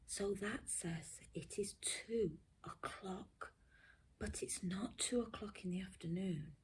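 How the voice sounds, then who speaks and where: A woman reads a storybook to young children at a slow, careful pace. Her voice is slightly husky, and she often sinks to a hushed near-whisper. She is expressive and pauses to put questions to her listeners like a teacher. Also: mid-range voice, poor audio. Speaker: primary school teacher reading a storybook.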